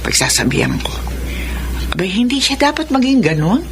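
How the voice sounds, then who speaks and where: A woman's voice: on the high side, sing-song, moderate pace. A woman acting a kindly, protective older aunt in a Filipino radio drama, consoling and motherly, with a Filipino accent. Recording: noisy radio drama recording.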